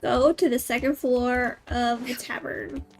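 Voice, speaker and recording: A woman's voice: a little high, steady tone, measured pace. A woman giving casual gameplay commentary as a streamer, with playful, offhand reactions. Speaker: game streamer. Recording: commentary over game music.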